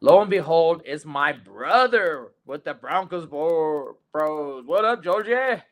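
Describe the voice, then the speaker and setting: A man's voice: fairly high pitch, animated, takes it slowly, phone-quality sound. Rambling man in his forties, raised voice. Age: 40s. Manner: rambling, full of ums and uhs. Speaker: podcast host. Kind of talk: casual sports podcast chat. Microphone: video call.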